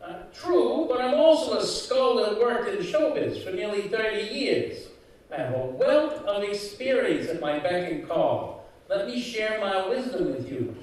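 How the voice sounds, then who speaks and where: A man's voice: high voice, big pitch swings, measured pace. A man playing the talking skull of a washed-up old sitcom actor in a live radio comedy, wry and full of showbiz patter. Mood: coaxing, chummy. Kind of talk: old-time radio comedy acting before a live audience. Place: community center stage, live performance. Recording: very echoey hall, far from mic.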